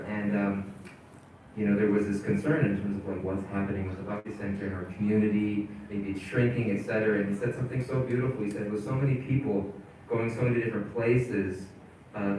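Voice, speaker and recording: A man leading a community town hall, talking in a halting, casual way with many fillers and restarts. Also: on the low side, darkish tone, slight background noise. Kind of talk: casual spoken presentation. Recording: echoey hall, voice from far off.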